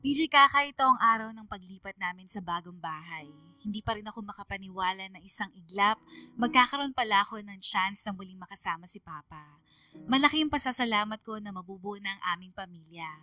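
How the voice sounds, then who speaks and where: A woman's voice: mid-range voice, animated, fairly quick, pushed and bright, far from the mic. A woman with a Filipino accent playing a sentimental young daughter, narrating her heartfelt letter in a radio drama. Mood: earnest, grateful. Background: music.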